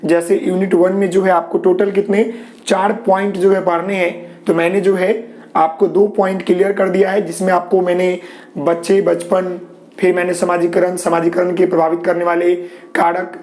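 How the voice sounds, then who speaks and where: High-voiced Bihari man with a sore throat. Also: darkish tone. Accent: Bihari Hindi. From Bihar, India. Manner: repetitive fillers, frequent "ji" and "okay"; reassuring, tells viewers not to worry. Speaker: teacher. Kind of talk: live online class lecture.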